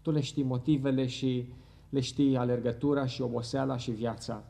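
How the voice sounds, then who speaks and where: A middle-aged man leading a closing church prayer at a pulpit microphone, earnest and fervent, pausing now and then. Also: mid-range voice, level tone, vocal fry, mellow. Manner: earnest, fervent, halting at times. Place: church hall with wood paneling.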